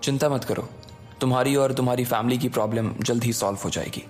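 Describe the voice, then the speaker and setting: A calm young hero, played by a man in an audio drama. He speaks politely and reassuringly with quiet confidence, while music plays behind him. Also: medium pitch, quick pace, lightly acted. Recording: background music under the voice.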